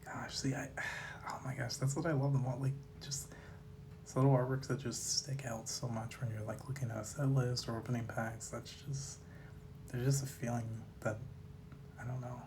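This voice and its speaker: Slightly raspy man over noticeable background noise. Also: mid-range voice, little pitch movement, moderate pace. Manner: laid-back, musing, trailing off mid-thought. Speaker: host of a card collecting video series. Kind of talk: casual rambling commentary to viewers.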